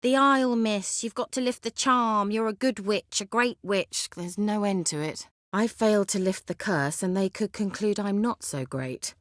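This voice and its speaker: Woman doing a snooty sorceress for a fantasy video game, sardonic n teasing, crisp wording, very dry studio sound. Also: mid-range voice, moderate pace, hardly any pauses, no room sound, natural voice. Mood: explaining, irritated. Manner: haughty, wry, teasing, articulate. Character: haughty, sardonic, sly sorceress in a fantasy world.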